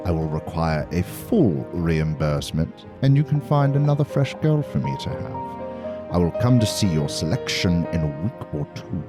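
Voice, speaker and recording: A cold, villainous nobleman in a medieval fantasy radio play. His voice is extremely low, his speech formal and imperious, with music loud behind him. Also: big pitch swings, normal speed. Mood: cold, demanding. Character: haughty, demanding male lord, cold villainous patron. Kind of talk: audio drama acting.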